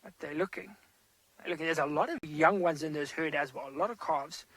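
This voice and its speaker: A man, a safari guide giving loud, animated live commentary from an open vehicle outdoors. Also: a little high, fairly quick, no room sound, rough recording.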